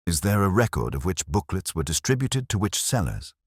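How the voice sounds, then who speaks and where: A man voicing a polite, quietly witty police inspector with a measured, unassuming manner in a mystery story. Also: low voice, sing-song, slightly rough, dry recording, clean studio sound, voice pushed up, exaggerated voice. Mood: polite, probing.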